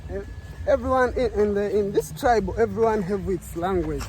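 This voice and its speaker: High-voiced Tanzanian man, eager and halting. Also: a little mellow, low-quality recording. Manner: eager, repeats words, halting. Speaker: local guest explaining history. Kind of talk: casual chat.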